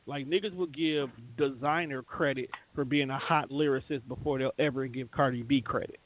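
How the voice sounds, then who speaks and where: A man's voice: medium pitch, steady tone, normal speed. Man debating over a thin phone-like line. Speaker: radio show co-host. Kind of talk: casual opinionated talk.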